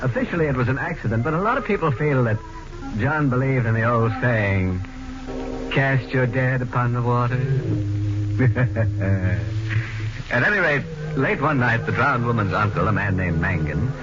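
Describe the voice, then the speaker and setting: An actor playing a wry, macabre host who narrates an old radio mystery in a darkly jocular way. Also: male voice, mid-range voice, expressive, a little slow, natural voice. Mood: wry, ghoulish. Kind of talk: 1940s radio horror narration. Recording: dull vintage radio with loud music.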